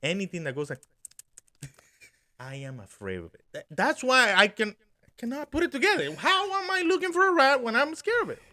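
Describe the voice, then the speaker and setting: A young man co-hosting a podcast, telling a dream story in an animated way, laughing and acting out what people said. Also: extremely high pitch, a little fast. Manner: animated, laughing, acts out quotes. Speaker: podcast co-host. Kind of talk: casual chat, storytelling.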